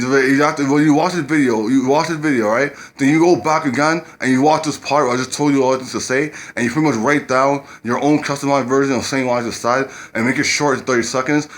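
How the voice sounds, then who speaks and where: A man giving dating advice to camera in a rambling casual way, often repeating himself and using blunt street slang. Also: mid-range voice.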